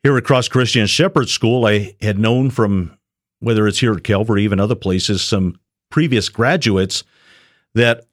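A middle-aged man speaks with a somewhat low voice, telling his story in an earnest, measured way, pausing and restarting as he goes. The recording is dry and close, with no room sound. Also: dry recording. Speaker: shepherd school student, retired police officer. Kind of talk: personal testimony on a radio show.

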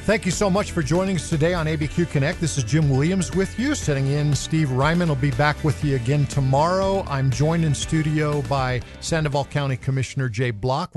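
Friendly talk radio host, dry studio sound. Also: male voice, medium pitch, darkish tone, no room sound. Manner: friendly, conversational, with fillers and restarts.

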